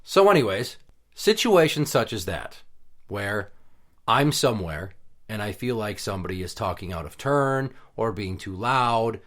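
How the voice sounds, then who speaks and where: A man's voice: lowish voice, big pitch swings, unhurried, fairly bright tone. A man hosting a podcast in casual chat, talking bluntly and heatedly with plenty of swearing. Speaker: podcast host.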